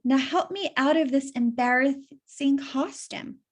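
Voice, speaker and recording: A woman's voice: high voice, a little slow. A woman voicing a worried, exasperated female fox in a storybook, urgent and grumbling. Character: plump gray fox, worried, exasperated female rescuer in disguise.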